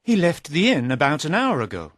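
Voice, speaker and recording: A man's voice: on the high side, huge pitch swings, a little fast, fairly bright tone, dry recording, studio quality, pitched above the performer's own voice, heavily acted. A man voicing a brave, honest young man who speaks earnestly and politely.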